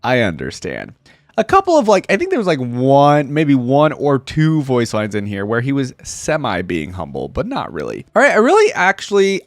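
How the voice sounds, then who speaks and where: A man's voice: medium pitch, no echo. Casual young man, dry close sound. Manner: informal, conversational, rambling. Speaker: reaction video host. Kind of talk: casual reaction commentary.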